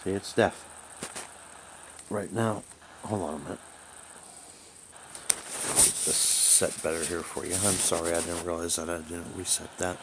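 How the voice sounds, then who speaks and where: Low-voiced man with noisy background. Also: steady tone. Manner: halting, rambling. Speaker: radio hobbyist. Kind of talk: casual product troubleshooting demonstration. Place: small room at home, indoors. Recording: noisy home recording with background hiss.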